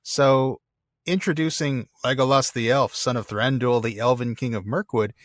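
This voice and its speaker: A man's voice: medium pitch, fairly even intonation, normal speed, no room sound. Guy cohosting a podcast, geeky and keen, laughs a lot, dead dry close mic. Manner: enthusiastic, laughs often, word-nerd explaining. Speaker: podcast co-host. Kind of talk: casual chat reading listener mail.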